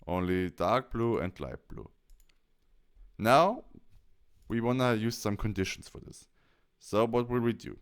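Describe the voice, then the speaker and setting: A man presenting a software tutorial, explaining each step as he goes. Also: on the low side, clear, resonant tone.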